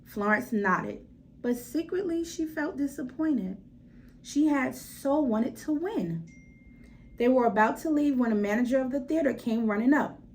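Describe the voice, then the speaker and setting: A woman's voice: sits high, expressive, unhurried, darkish tone, a little hiss or hum, low-quality recording. A woman reading a children's story aloud expressively, with dramatic emphasis and direct asides to her listeners.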